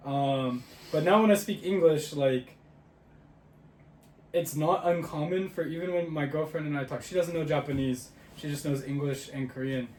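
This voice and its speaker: A man's voice: fairly high pitch, normal speed. Young man, podcast co-host, casual chat, roomy echo. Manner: relaxed, chatty, quizzes his friend. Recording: roomy echo, faint ambient noise.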